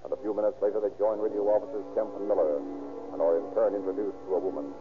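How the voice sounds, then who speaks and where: Casting: male narrator, low, formal and portentous, tinny vintage radio sound with music behind. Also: steady tone, very fast. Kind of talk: dramatic scripted narration, 1930s radio.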